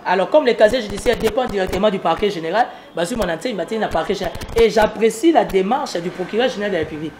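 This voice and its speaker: High-pitched, emphatic Congolese man. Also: rapid-fire, hardly any pauses, darkish tone, a little hiss or hum. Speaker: political analyst being interviewed. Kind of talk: political commentary in an interview.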